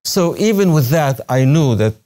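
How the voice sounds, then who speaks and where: A man's voice: a little high, animated, moderate pace, warm tone. An older man from Syria, a native Arabic speaker with a Syrian Arabic accent, recalling his life as a guest in a studio interview. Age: 60s or older. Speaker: interview guest, retired religion professor. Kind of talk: personal recollection in an interview. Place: television studio, seated at a desk. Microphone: lapel mic.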